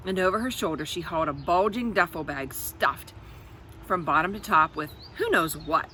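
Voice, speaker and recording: Bright-voiced woman reading a storybook aloud. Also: lowish voice, moderate pace, slight background noise. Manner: expressive, explanatory, addresses children directly. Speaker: read-aloud host for children. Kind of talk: storybook read-aloud with asides to young listeners.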